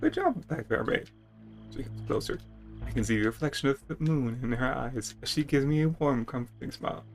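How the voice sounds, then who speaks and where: Male streamer, medium pitch, theatrical game-text narration, casual asides, background music. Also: a little fast.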